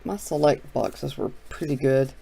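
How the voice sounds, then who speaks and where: A middle-aged man hosting a casual, relaxed chat, thinking aloud as he opens packs of cards. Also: mid-range voice, unhurried, gravelly, slight background noise. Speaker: card shop host. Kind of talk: casual chat while opening card packs.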